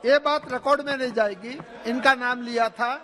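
High-voiced male chair over crowd murmur. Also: steady tone. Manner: brisk, procedural, directing members. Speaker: presiding chairperson. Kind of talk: chairing a parliamentary session. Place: parliament chamber. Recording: chamber with clear crowd.

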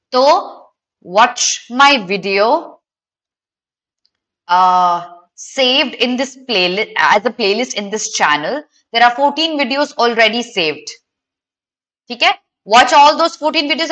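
A young woman with an Indian accent and a fairly high voice teaches a live online class. She speaks to her students directly, giving instructions and explanations as an exam prep teacher. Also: a bit bright.

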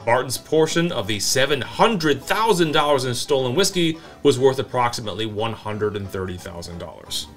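A man with an American accent and a medium-pitched voice tells semi-scripted stories as a show co-host. His delivery is deadpan and dryly comic. Soft guitar music sits behind him. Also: moderate pace, few pauses. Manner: deadpan, dry humor. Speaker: co-host of a whiskey review show. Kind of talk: semi-scripted comic history narration and banter. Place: small indoor home-style bar set. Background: background music with guitar.

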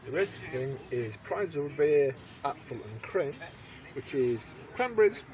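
A man's voice: medium pitch, very animated, measured pace, a crowd in the background, low-quality recording. A middle-aged English man narrating a casual, rambling vlog into his phone in an informal, wry way. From England. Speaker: vlogger. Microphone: phone at arm's length.

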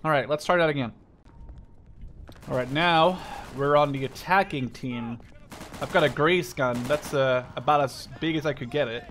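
A man in his twenties giving casual, rambling, sweary gameplay commentary in an excitable way. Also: a little high, normal speed, a little hiss or hum. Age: 20s. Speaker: gamer, video host. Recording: loud game gunfire behind the voice. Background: gunfire and machine guns from game.

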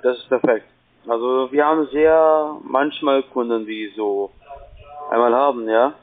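A man's voice: mid-range voice, a little slow. Man on a tinny phone line. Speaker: call-centre phone caller. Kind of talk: unscripted sales call.

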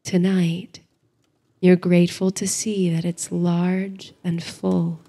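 A woman narrating a bedtime story and guided meditation in a soothing, hushed, gentle manner. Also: lowish voice, flat delivery, slow, breathy edge. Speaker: host and narrator of a sleep story series. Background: faint music.

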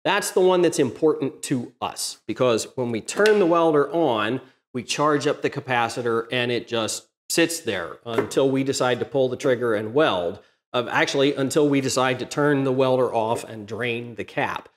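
A man's voice: medium pitch, moderate pace, slight background noise. Methodical middle-aged man explaining workshop tech. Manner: methodical, plain-spoken, technical. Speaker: DIY welding channel host. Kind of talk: explanatory tutorial talk to camera. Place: home workshop, indoors.

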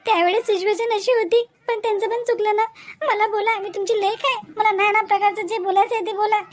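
Woman acting tearful, squeaky-high young wife. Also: fairly even intonation, fairly quick. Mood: tearful, wounded. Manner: plaintive, repetitive. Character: hurt, pleading young wife loyal to her husband. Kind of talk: Marathi animated family drama dialogue.

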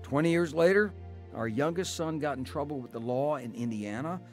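A man's voice: fairly high pitch, moderate pace, faint music behind, natural voice. A man acting an earnest, devout husband who tells his own story in a radio drama. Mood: earnest, reflective. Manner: earnest, heartfelt. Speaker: narrator and lead actor. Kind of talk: radio drama acting and first-person narration.

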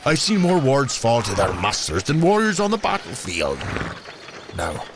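An actor playing a gruffly kind man in a fantasy audio drama, giving instructions in a brusque manner. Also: mid-range voice, wildly swooping pitch, moderate pace, background noise. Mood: brisk, instructing. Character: gruffly kind working man helping a young newcomer.

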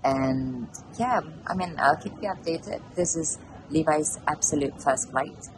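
A woman's voice: low voice, measured pace. A woman in her thirties chatting casually to a handheld camera as a travel vlogger. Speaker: vlogger, mother of a toddler. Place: busy airport terminal. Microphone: camera held at arm's length. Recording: clear ambient travel noise behind.

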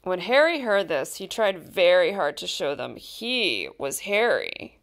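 A woman reads a picture book aloud for children. Her voice sits a little low for a woman. She tells the story deliberately and expressively, leaning on the key words. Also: very animated, fairly bright tone. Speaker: storybook reader.

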